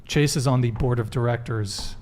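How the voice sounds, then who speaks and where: A man's voice: medium pitch, normal speed, darkish tone. A man hosting a podcast panel, running through announcements and questions with joking asides. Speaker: podcast host. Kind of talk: casual panel talk.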